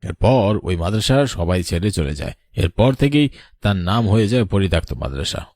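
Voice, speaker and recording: A man voicing a grave, knowing older village man in a horror cartoon, solemn and measured like a storyteller. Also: low voice, animated, a little mellow.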